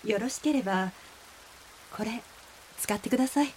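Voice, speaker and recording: An actress playing a gentle, polite, mysterious woman in a radio drama, soft and wistful in speech. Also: fairly high pitch, normal speed, slight background noise, natural voice, poor audio.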